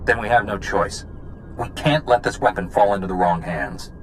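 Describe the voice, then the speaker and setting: An actor playing a resolute, principled military commander in a sci-fi game, giving clipped orders with authority. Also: male voice, on the low side, normal speed, slight background noise, natural voice, low-quality recording. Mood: commanding, determined. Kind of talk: cinematic video game acting. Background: music.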